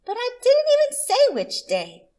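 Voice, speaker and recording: A woman's voice: very high voice, huge pitch swings, unhurried, a little mellow, voice pushed up, full character voice. A woman voicing a friendly female possum homemaker from a storybook, speaking in an emphatic sing-song. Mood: puzzled.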